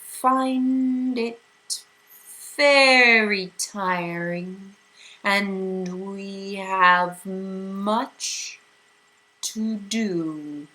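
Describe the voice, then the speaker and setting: A sharp, eerie, disembodied old being, as a woman reads her lines drags each word out at a crawl. The voice is strange and commanding, from a storybook reading. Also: mid-range voice, steady tone, rough recording. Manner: sharp, very slow and drawn out.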